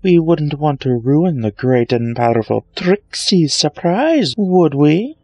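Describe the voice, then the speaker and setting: Man putting on a haughty, high-pitched vengeful unicorn magician. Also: very animated, slow, darkish tone, exaggerated voice. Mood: smug taunting. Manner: haughty, theatrical, taunting. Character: boastful vengeful female unicorn showman magician. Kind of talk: fan fiction story reading. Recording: low-grade mic with music bed.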